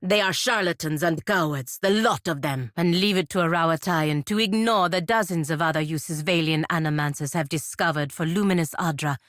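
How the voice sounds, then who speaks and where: An actress playing a proud, sardonic fantasy warrior-diplomat in a video game, speaking formally and with dry scorn. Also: female voice, low-pitched, normal speed, hardly any pauses, a bit bright, dry recording. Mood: dry, scornful. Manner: formal, clipped, dryly scornful. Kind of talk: video game character dialogue.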